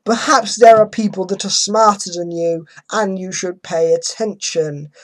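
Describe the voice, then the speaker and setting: A woman's voice: mid-range voice, fairly even intonation, measured pace. A woman hosting a dramatic reading, commenting with sarcastic, exasperated emphasis. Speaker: host reading an article aloud with commentary.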